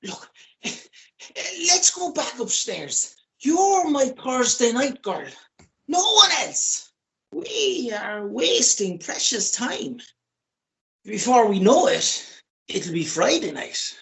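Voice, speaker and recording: A woman's voice: a little high, big pitch swings, slow, creaky, heavily acted. A woman playing a hapless, flustered man who pleads and protests in a radio play. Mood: coaxing, placating.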